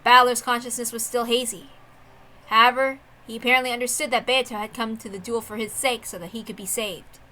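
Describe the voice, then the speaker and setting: A woman's voice: fairly high pitch, steady tone, fairly quick, pushed and bright, poor audio. A woman reading a visual novel aloud as a dramatic narrator.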